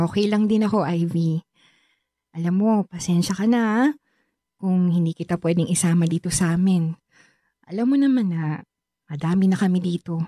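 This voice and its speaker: An actress playing a caring, reassuring middle-aged Filipino aunt in a radio drama. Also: female voice, on the low side, moderate pace, pauses often, dark tone, no echo, studio quality, close to an everyday voice. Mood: kind, apologetic.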